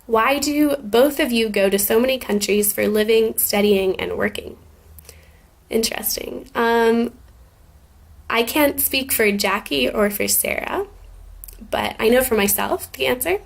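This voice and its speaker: Young American woman with a gravelly edge. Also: a little high, unhurried. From Scotland and Germany. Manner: relaxed, thoughtful, with many ums and likes. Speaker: guest answering student questions. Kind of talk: casual spoken answers for an English class.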